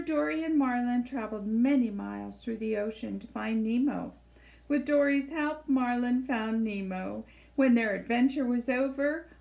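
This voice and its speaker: A woman reading a children's story aloud in an expressive, measured storytelling style, with deliberate phrasing. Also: a little high, creaky, poor audio. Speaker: library storybook reader. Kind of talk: expressive scripted read-aloud narration for children.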